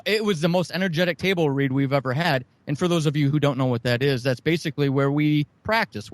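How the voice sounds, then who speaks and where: Male interview guest, slightly high register, dry sound. Earnest, emphatic, effusive promoter. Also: no echo. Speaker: guest, audio drama producer. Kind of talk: enthusiastic interview talk, promoting shows.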